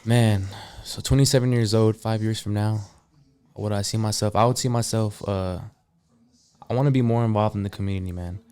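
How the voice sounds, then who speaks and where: A young man in his twenties with a low voice and a smooth, clear tone. He talks loosely in a casual chat, circling his point with fillers and trailing asides. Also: fairly even intonation, a little fast, a little mellow. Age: 20s. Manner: rambling, full of "like" and "you know". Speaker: barber.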